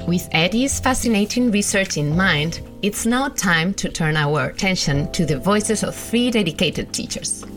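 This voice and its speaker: A woman giving formal, measured scripted narration as documentary host. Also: medium pitch, lively intonation, music in the background.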